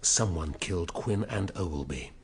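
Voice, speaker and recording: Deep-voiced, brooding detective. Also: male voice, animated, moderate pace, a little hiss or hum. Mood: weary. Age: middle-aged. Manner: dry, weary, impatient. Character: ageing, brooding, sardonic male police detective. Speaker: detective inspector. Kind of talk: radio drama acting.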